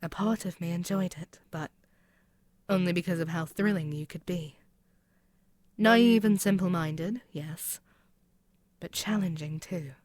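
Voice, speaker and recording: A woman voicing a proud, mocking demon queen in an intimate ASMR roleplay, speaking in a haughty, deliberate, musing way. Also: on the low side, a little mellow, close to an everyday voice. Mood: pensive, wounded pride. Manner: haughty, deliberate, musing, with pauses and murmured asides. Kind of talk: scripted ASMR audio roleplay, spoken intimately to the listener.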